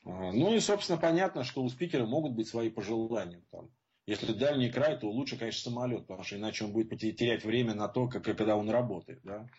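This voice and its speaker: A man with a husky voice giving an informal, opinionated spoken answer as a guest speaker, explaining point by point. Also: on the low side, animated, quick pace, a little mellow, a little hiss or hum. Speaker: guest speaker at support group seminars. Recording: dull lo-fi audio.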